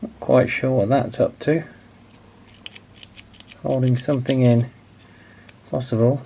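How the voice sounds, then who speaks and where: A man's voice: medium pitch, steady tone, slow, vocal fry, warm tone. Man, noisy casual workbench narration. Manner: hesitant, thinking aloud. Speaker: video host, radio restoration hobbyist.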